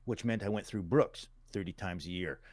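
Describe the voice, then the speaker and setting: A middle-aged man from Calgary speaks in a low voice and tells stories easily as a guest. He is folksy and plain-spoken. The sound is dry and close. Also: moderate pace, a little mellow, no room sound. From Calgary, Alberta, Canada. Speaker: guest, musician. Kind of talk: casual interview storytelling.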